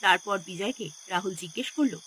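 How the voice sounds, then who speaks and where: A woman's voice: mid-range voice, expressive, a little fast, fairly bright tone, slight background noise. A woman narrator reading a scripted horror story in a dramatic style.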